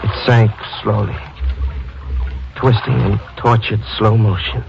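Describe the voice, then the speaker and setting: Frantic paranoid husband, low voice, stammering, lo-fi old radio. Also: male voice, flat delivery, slow, gravelly, background noise. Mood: brooding. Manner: stammering, rising to panic. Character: frantic guilt-ridden young husband, paranoid. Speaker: Tate, the narrator. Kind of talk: 1940s radio drama acting.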